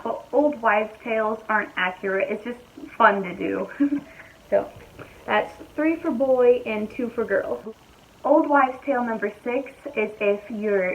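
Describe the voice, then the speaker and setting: A young woman talking casually to her viewers from home, her voice slightly raspy and hoarse, chatty and easygoing as she thinks aloud. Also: on the high side, dull audio. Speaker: vlogger. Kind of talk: casual chat to camera. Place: at home, indoors.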